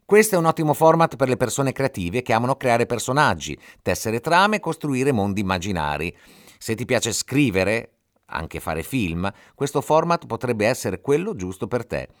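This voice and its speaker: A man's voice: mid-range voice, very animated, moderate pace, dry recording, clean studio sound. A man hosting a podcast, giving scripted explanatory narration in a didactic way, speaking directly to the listener. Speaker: podcast host.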